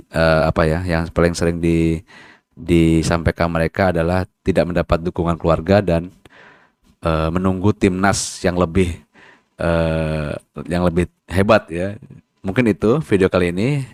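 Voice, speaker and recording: Low-voiced chatty man with dry sound. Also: clean tone, no room sound. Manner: chatty, many fillers and tag questions, stumbles over foreign names. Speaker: football channel host. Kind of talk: casual spoken commentary.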